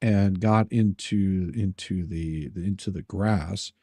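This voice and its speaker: A man telling personal anecdotes in casual chat, halting and rambling as he repeats his words. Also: low-pitched, dark tone, no echo, studio quality.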